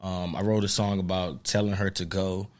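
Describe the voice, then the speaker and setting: A young man from Maryland talks in a low, relaxed voice, laughing easily as he answers interview questions. His phrasing is loose and conversational. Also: normal speed. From Maryland, USA. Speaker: musician guest. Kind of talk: casual interview chat.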